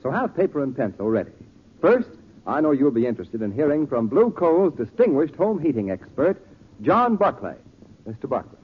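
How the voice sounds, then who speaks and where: A man's voice: a little high, big pitch swings, moderate pace, breathy edge. An American man reading scripted 1940s radio announcements in a dramatic, emphatic manner. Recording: muffled, reverberant 1940s radio recording with music.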